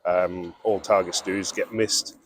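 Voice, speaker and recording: A man from mid Wales gives an interview answer as a candidate. His voice is somewhat low. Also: fairly even intonation, measured pace, a little hiss or hum. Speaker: election candidate.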